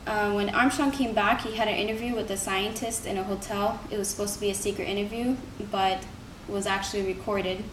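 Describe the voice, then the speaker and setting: A woman's voice: medium pitch, fairly even intonation, a little fast, hardly any pauses. A young woman giving an earnest, informal persuasive speech, like a student presenting to a class. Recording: roomy, distant mic, noisy background.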